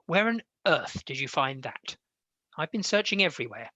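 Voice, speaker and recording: Man playing a secretive, formal gentleman, lively pitch. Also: moderate pace, no echo, lo-fi sound, heavily acted. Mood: indignant. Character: Arthur Sullivan, secretive, torn gentleman composer and lover. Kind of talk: radio play acting.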